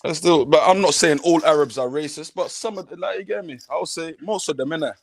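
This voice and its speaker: A man holds forth on a live panel, his voice pitched a little high. He talks fast and heated in street slang and hammers each point by repeating it. The sound is close and dry. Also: no echo. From northern Somalia. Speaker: podcast host. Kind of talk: casual panel debate.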